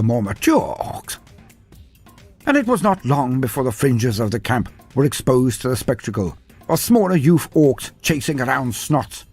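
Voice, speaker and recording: Theatrical male storyteller, husky, swooping delivery. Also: mid-range voice, darkish tone. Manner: theatrical, wry, storybook phrasing. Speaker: storyteller narrator of fantasy war lore. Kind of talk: scripted dramatic narration.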